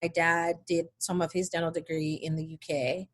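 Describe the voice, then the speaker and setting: A woman's voice: fairly low pitch, flat delivery, moderate pace. Woman from Nigeria, conversational storyteller. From Nigeria, born in England. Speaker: interview guest.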